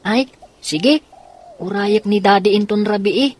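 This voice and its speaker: A woman's voice: mid-range voice, unhurried, lightly acted. A woman playing a pleading young child with an Ilocano accent in a radio drama. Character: pleading young child missing their father.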